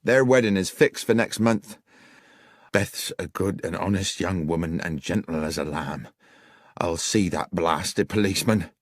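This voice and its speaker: A man's voice: low voice, measured pace, dry recording, clean studio sound. A man voicing an elderly innkeeper in an audiobook, anxious, protective and bluntly indignant. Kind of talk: audiobook character voice.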